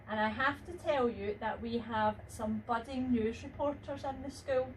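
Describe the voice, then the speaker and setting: A woman teacher leading a school assembly, speaking encouragingly to the children. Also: a little high. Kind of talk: assembly address to pupils. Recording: distant mic, faint room noise.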